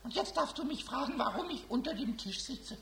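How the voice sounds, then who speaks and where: A cheeky little kobold with a very high, squeaky voice. He swings excitedly up and down, protesting like an indignant child and tripping over repeated words. It is an old children's radio play with some background noise. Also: a little fast, gravelly, full character voice. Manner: stuttering repetitions, childish protesting. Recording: noisy 1970s radio play recording.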